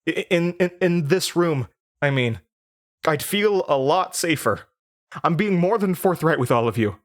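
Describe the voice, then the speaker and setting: Anxious, haunted young man, halting speech. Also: on the high side, lively intonation. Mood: pleading, distraught. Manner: halting, nervous, stammering. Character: anxious, haunted young Midwestern man recalling traumatic memories. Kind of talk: audio drama acting, confessional monologue.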